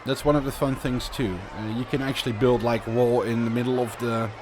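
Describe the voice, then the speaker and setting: A man's voice: medium pitch, fairly even intonation, brisk, background noise. A Dutch man with a Dutch accent, chatting casually through live gameplay commentary and answering viewer questions as he builds. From Netherlands. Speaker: streamer.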